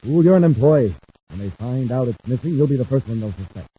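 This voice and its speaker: A man's voice: mid-range voice, lively intonation, a little fast, warm tone, breathy edge. An American actor playing a stern, irritable businessman and older brother in a 1950s radio drama, curt and indignant. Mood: alarmed, angry. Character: stern, scowling, irritable interplanetary junk tycoon, older brother. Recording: dull old radio recording.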